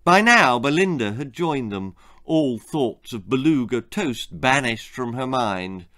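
A man in his sixties with an English accent, narrating a children's story in a theatrical, expressive manner. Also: mid-range voice, a little slow. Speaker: author reading own children's story. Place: small book-lined room indoors.

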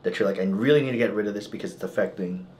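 A man's voice: lowish voice, rapid-fire, a little mellow. Informal chiropractor man, noisy room. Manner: informal, explanatory. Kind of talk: casual patient consultation. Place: small indoor treatment room. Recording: clearly noisy small treatment room.

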